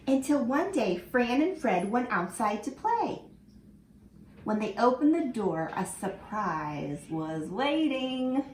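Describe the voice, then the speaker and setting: A woman teacher reading a picture book aloud to children in an animated way, stopping to ask them questions. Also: fairly high pitch, a little hiss or hum. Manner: animated, asks the listener questions. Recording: echoey room with faint ambience.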